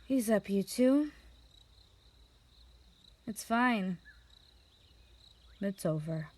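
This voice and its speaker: A woman playing a young, unrepentant wind mage killer in a fantasy audio drama, measured, resigned and quietly menacing. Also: medium pitch, animated. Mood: resigned, calm. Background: music.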